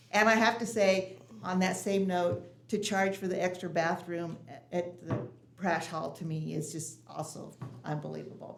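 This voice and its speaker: Woman, lowish voice, indignant public commenter in an echoey hall. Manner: indignant, halting with restarts. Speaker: public commenter at a city commission meeting. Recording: echoey meeting room.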